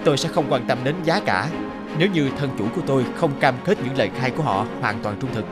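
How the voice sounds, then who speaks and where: A man voicing a stern, principled lawyer in a cartoon, speaking in a formal, firm and measured way. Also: medium pitch, lively intonation, natural voice. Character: stern, principled male lawyer refusing dishonest clients. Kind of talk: dubbed cartoon dialogue. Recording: music under the voice.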